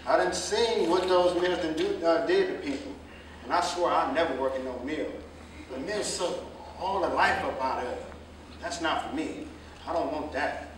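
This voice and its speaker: A man's voice: on the high side, normal speed, close to an everyday voice. A man acting a stubborn, hard-working young American jitney driver in a staged play reading, defensive and insistent. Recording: distant mic, echoey hall, faint noise.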